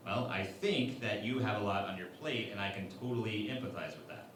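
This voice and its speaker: A man's voice: on the low side, a little fast, fairly bright tone, lightly acted. A man playing an earnest, oblivious and tentative crewman in a sci-fi parody radio play. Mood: tentative, sympathetic. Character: awkward, earnest, slow-witted male starship crewman. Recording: very echoey, distant mic, faint noise.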